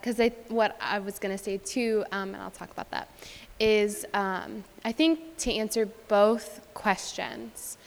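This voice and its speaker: A woman speaking on a panel, casual and hesitant, with many fillers. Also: mid-range voice. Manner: hesitant, many "um"s, laughs often. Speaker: panel speaker, pastor's wife. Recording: reverberant hall.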